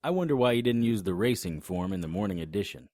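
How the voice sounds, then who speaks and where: A man's voice: mid-range voice, big pitch swings, fast, creaky, noisy background. A man narrating and acting the lead in a noir audio drama, dry and wry in manner.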